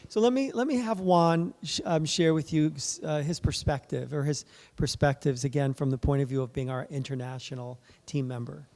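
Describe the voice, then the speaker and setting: A man, a fertility doctor, giving a soft-spoken, conversational talk at a conference, with many fillers and restarts. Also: fairly high pitch, normal speed. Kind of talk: conference presentation. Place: conference room.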